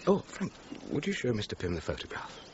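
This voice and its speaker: An actor playing a shrewd, persistent police detective in a radio crime drama, measured, formal and courteously probing. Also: male voice, on the low side, huge pitch swings. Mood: businesslike. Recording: muffled, noisy radio drama recording.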